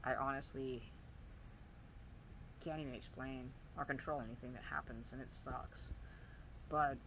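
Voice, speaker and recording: A man talks quietly to his viewers in a weak, soft voice, stopping and starting as he gropes for words. His pitch sits in an ordinary middle range, and a steady hum of background noise runs under the recording. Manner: halting, searching for words, many pauses and fillers. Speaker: vlogger. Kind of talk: personal video diary.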